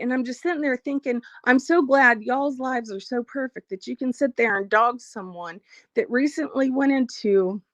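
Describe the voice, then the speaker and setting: A woman hosting a casual livestream, reading out viewer comments and speaking frankly about her life, slightly hoarse as she loses her voice. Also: on the high side, no room sound, lo-fi sound. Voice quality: frank, blunt, swears freely. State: losing her voice, a bit hoarse. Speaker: livestream host.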